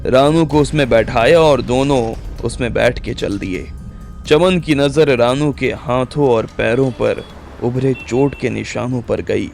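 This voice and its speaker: A man's voice: mid-range voice, measured pace, vocal fry, darkish tone. A man narrating a scripted audio drama story as its storyteller. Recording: music bed under the voice.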